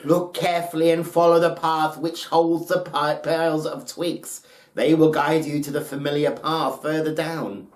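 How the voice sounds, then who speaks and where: A man voicing a frail, loving old mother in a folktale, speaking with gentle, earnest, measured care. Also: on the high side, flat delivery, voice pushed up, close to an everyday voice. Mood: tender warning. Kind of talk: dramatic folktale storytelling. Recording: roomy, echoing space.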